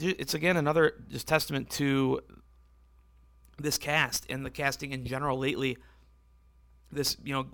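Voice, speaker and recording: A man hosting a casual solo podcast, rambling and correcting himself as he talks, with a voice that is going hoarse. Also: mid-range voice, a bit bright, dry recording. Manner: rambling, self-correcting, conversational. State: losing his voice, hoarse. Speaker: podcast host.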